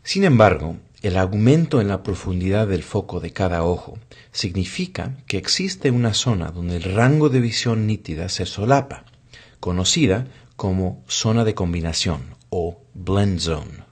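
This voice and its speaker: A man's voice: low voice, animated, measured pace, husky. A man narrating a scripted medical explainer video in a formal, instructional manner.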